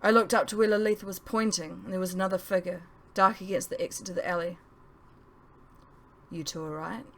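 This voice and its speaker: A woman's voice: medium pitch, level tone, quick pace, hardly any pauses, a bit bright. A woman reading her own novel aloud in a dramatic audiobook narration style.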